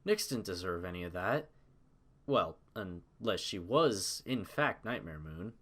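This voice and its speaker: A man reading scripted story narration in a measured, dramatic manner. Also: low voice, lively intonation, fairly bright tone.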